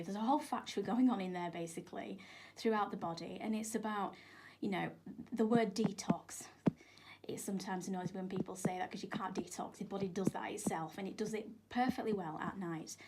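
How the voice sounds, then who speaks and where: A woman's voice: medium pitch, a little fast. A woman, a yoga instructor speaking as a radio studio guest, informal, emphatic and explanatory. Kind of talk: explanatory radio interview talk. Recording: clear background noise in studio.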